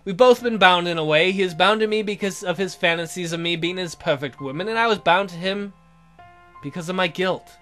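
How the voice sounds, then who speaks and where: A man's voice: sits high, a little fast, pushed and bright, soft background music. A man hosting a gaming video, reading visual novel narration aloud in a theatrical storytelling manner.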